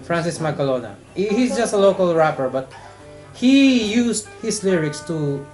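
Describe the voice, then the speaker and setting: A man's voice: sits high, big pitch swings, moderate pace, darkish tone. Hesitant man in an echoey room with music. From Philippines. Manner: hesitant, repeats words. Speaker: host. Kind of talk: casual chat.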